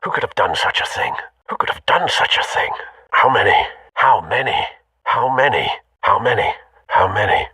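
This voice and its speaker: An actor playing a loyal older butler with a British accent in a video game, formal and dryly understated. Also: male voice, on the low side, expressive, moderate pace, sharp tone, husky, no room sound, recorded from a distance, exaggerated voice. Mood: shocked. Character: refined, loyal, concerned older butler man.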